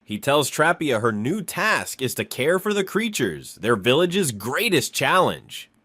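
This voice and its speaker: A man's voice: mid-range voice, big pitch swings, measured pace, pushed and bright, no echo, studio quality. A man reading scripted story narration as a recap narrator.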